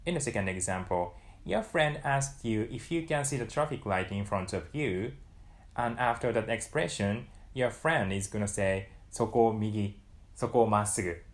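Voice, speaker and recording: A young man from Japan with a Japanese accent, giving a calm, scripted lesson to camera. He says his Japanese example phrases more loudly and quickly, and he is recorded on a lapel mic in a small room. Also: fairly low pitch. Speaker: language teacher.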